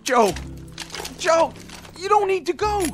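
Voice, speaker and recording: An actor playing a frightened, bitter young Confederate private in a radio drama, whiny and pleading, with a Southern US accent. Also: male voice, extremely high pitch, animated, slow, a bit bright, soft background music, exaggerated voice.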